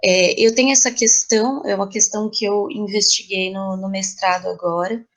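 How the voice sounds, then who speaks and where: A woman's voice: mid-range voice, fairly even intonation, normal speed. A woman with a Brazilian Portuguese accent, answering in a reflective interview as a playwright, thoughtful and explanatory, searching for words.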